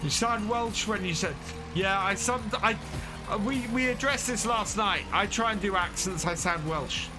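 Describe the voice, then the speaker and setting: A man's voice: high voice, moderate pace, rough recording. A man casually chatting with his live chat while playing a farming game, thanking followers and answering questions as he plays. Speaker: streamer. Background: game music.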